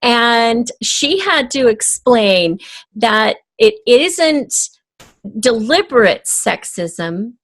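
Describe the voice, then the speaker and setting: A woman's voice: fairly high pitch, very animated, very slow, a bit bright, no room sound. A woman talking as a guest in a conversational interview, explaining her ideas thoughtfully. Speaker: podcast guest, audio drama writer.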